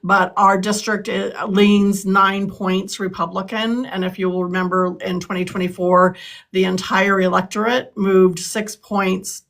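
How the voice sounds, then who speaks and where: A woman's voice: mid-range voice, flat delivery, unhurried, creaky, a bit bright. A woman in her sixties from California, answering interview questions earnestly, with frequent ums and restarts. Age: 60s. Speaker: political candidate.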